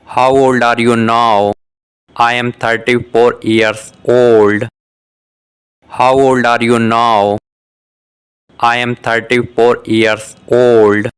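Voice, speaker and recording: Male voice for a beginner English lesson: unhurried, crisp, careful, even tone. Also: mid-range voice. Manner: reads short question-and-answer exchanges, each said twice; measured, carefully articulated delivery. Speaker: English lesson dialogue reader. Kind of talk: scripted beginner dialogue for shadowing practice.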